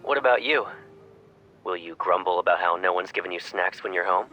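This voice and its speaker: A man's voice: sits low, a little fast, pushed and bright, muffled recording, faint music behind. A man playing a young pilot cadet, a doting and teasing boyfriend, in a romantic game fan dub. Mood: playful, affectionate.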